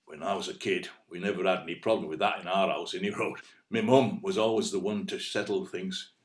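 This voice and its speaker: An actor playing an earnest, anxious Northern boyfriend in an audio play, with a West Yorkshire accent and a hesitant, rambling, colloquial way of talking. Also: male voice, low-pitched, fairly even intonation, a little fast, roomy sound, close to an everyday voice. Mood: earnest, bemused. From West Yorkshire, England. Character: earnest, anxious, plain-spoken Northern boyfriend, out of his depth.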